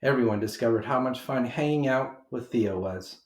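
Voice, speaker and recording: A man narrates a children's story aloud at a middle pitch. His delivery is expressive and emphatic, aimed at young listeners. The homemade recording has noticeable room echo around the voice. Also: normal speed.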